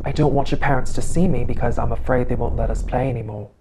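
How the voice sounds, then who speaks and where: A woman voicing a small, sly monster in an animated horror story, coaxing and secretive as it poses as a child's playmate. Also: a bass voice, fast, noisy background. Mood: coaxing, needy.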